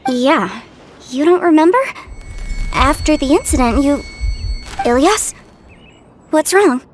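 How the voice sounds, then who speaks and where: An actress playing a caring, lively older sister with a brisk way of talking. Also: female voice, sits high, a little hiss or hum. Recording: game soundtrack behind.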